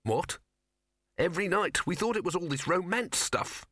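A tiny storybook mouse, pitched very high and squeaky. The little creature is dismissive and scornful, with a bright, dry sound. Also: male voice, expressive, moderate pace, dry recording.

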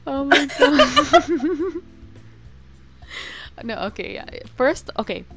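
A woman's voice: high-pitched, wildly swooping pitch, extremely slow, over loud music. A young woman co-hosting a podcast in casual chat, giggly and rambling, breaking into playful rants. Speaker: podcast co-host.

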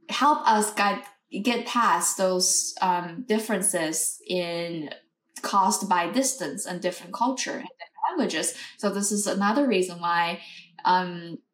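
Woman host, slow and reflective, mid pitch, echoey room. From Beijing, China. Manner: measured, reflective, slows to choose words. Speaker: podcast host. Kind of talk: interview conversation.

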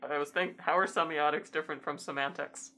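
Young woman cohost, real low voice, flat deadpan delivery. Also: fairly quick. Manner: dry, deadpan monotone. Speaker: podcast co-host. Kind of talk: casual chat.

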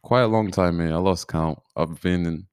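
A man's voice: sits low, moderate pace, darkish tone, clean tone, no room sound, studio quality. A man, co-hosting a casual podcast with short, laid-back remarks. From Portugal. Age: 20s. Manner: laid-back, brief remarks, laughs easily. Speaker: podcast co-host. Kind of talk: casual chat.

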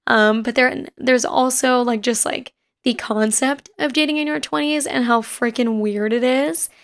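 A woman in her twenties with a raspy voice, hosting a casual podcast and rambling quickly through personal stories. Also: a little high, fairly even intonation. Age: 20s. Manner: fast-talking and rambling. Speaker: podcast host. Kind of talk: casual chat. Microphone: home recording setup.

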